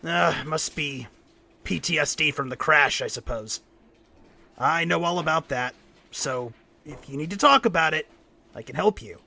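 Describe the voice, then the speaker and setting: A man playing a loyal, worried mechanic in an audio drama, speaking earnestly and haltingly. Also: a little high, gravelly, sharp tone, exaggerated voice. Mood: alarmed, concerned. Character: worried, loyal male mechanic friend, irreligious and wry.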